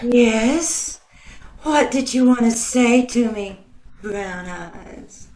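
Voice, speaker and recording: A woman playing a flirtatious, flattering saleswoman with a coy, sugary, cooing manner in a radio comedy. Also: a little high, very slow. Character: flirtatious, flattering saleswoman sweet-talking her customers. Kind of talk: old-time radio comedy acting. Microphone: video call. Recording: echoey room over video call.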